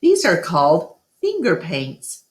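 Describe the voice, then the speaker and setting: A woman's voice: fairly low pitch, huge pitch swings, slow pace, full character voice. A woman voicing an eager, friendly young girl with a casual, childlike delivery in a children's storybook. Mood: matter-of-fact. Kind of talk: children's storybook read-aloud.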